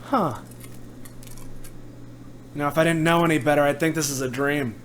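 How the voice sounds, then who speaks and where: A man's voice: a little high, fairly even intonation, moderate pace, slight background noise, close to an everyday voice. A man playing a folksy, casual adventurer in a tabletop roleplaying game, speculating in colloquial phrasing. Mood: wary, curious.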